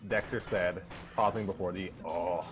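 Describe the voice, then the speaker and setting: A man hosting a casual story reading, breaking off into halting asides. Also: sits low, music in the background. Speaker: host and reader of the story.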